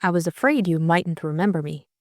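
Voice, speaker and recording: A female narrator acts out a spirited young lady amateur sleuth in a mystery audiobook. She is courteous yet persistent and probing, speaking in a slightly low voice. The recording is close and dry. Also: expressive, a little fast, dry recording, natural voice. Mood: polite, persistent. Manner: polite, probing, direct.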